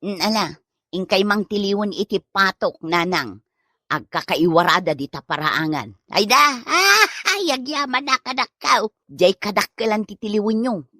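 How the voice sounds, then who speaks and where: A woman with an Ilocano accent playing a wife and mother in a village comedy drama, speaking in an expressive, colloquial way. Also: mid-range voice, normal speed, no room sound, clean studio sound. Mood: nagging, coaxing.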